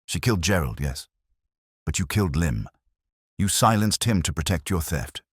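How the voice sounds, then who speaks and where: A man voicing a cold, commanding detective in an audiobook, speaking in a steady, formal, deliberate way. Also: sits low, breathy edge, dry recording, clean studio sound. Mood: accusing. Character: cold, commanding male genius detective, accusing and unshakable.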